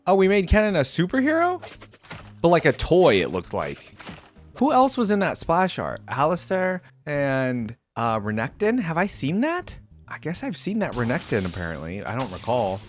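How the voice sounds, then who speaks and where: Male reaction host, casual and unscripted, lively swings in pitch, faint music behind him. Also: normal speed. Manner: informal, thinking aloud.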